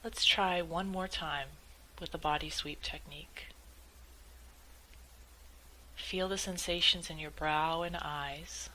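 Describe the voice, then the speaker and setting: A woman leading a guided meditation in a measured, gently instructive way, as calm spoken instruction. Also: low voice, slight background noise, rough recording.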